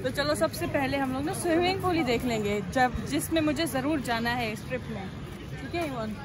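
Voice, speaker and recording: A woman's voice: high-pitched, expressive, brisk. A young woman chatting expressively to camera in a casual travel vlog style, recorded on a phone at arm's length. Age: young adult. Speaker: vlogger, host. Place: busy restaurant, street and hotel grounds. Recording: noisy outdoor and restaurant crowd background.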